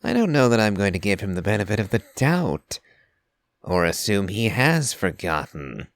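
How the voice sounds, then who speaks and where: A man's voice: fairly low pitch, big pitch swings, normal speed. A man reading game dialogue aloud and giving casual commentary as a streamer.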